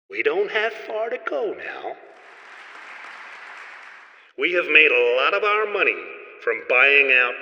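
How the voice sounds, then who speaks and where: A man playing a gloating villain tycoon, a head in a tank, giving a pompous, deliberate speech in an audio drama. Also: medium pitch, bright, forceful tone, big-room sound, muffled recording, full character voice. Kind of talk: theatrical public speech in an audio play.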